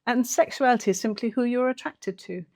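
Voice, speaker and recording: A woman's voice: fairly high pitch, a little fast, darkish tone. A woman giving conversational reaction commentary to camera in an earnest, emphatic, rhetorical way.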